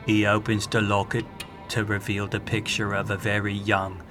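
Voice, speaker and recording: Fairly deep male storyteller with music behind. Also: completely flat delivery, moderate pace. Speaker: announcer and narrator. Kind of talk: scripted dramatic storytelling narration.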